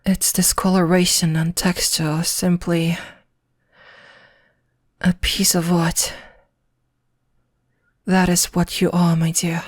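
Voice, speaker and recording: Woman acting as courtly vampire lady, British accent, lowish pitch, very even intonation, soft and breathy, flirty, possessive, formal, ASMR roleplay. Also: slow pace, pauses often. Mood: hungry, intimate. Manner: formal, archaic, courteous phrasing.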